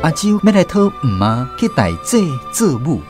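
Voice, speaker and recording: An actor with a Taiwanese accent narrating a family tale as the storyteller in a radio drama. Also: male voice, on the high side, very animated, moderate pace, music in the background.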